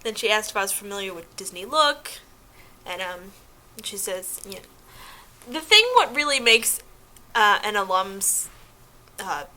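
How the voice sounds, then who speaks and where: A young adult woman chatting casually to her viewers in an informal, animated way with slangy asides, as a vlogger sharing advice. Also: mid-range voice, a little slow. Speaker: vlogger giving application advice.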